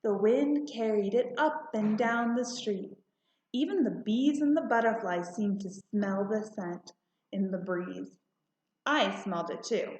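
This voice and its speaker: A woman narrating a children's storybook aloud in a deliberate, expressive way. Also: mid-range voice. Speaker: storybook reader. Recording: roomy, slightly muffled home recording.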